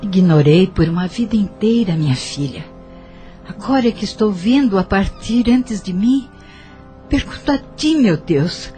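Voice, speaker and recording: A woman's voice: mid-range voice, mellow, natural voice. An actress playing a grieving, remorseful mother in an audio drama monologue. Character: grief-stricken, remorseful mother mourning her daughter.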